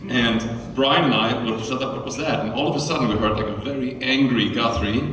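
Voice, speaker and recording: A man's voice: mid-range voice, fast, a bit bright, far from the mic, a little hiss or hum. A man with a German accent, a band's drummer, casually telling a rambling story to a concert crowd. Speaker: band drummer. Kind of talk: onstage storytelling between songs. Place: concert venue. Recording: echoey live hall, mic from afar.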